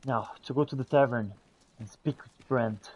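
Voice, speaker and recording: A man streaming a game with casual in-character roleplay commentary, chatty and theatrical with a halting delivery. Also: medium pitch, darkish tone, breathy edge, lo-fi sound.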